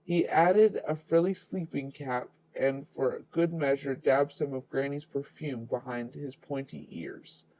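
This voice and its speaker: A man's voice: medium pitch, a little slow, hardly any pauses, warm tone, poor audio. A man reading a children's fairy tale aloud as the storyteller.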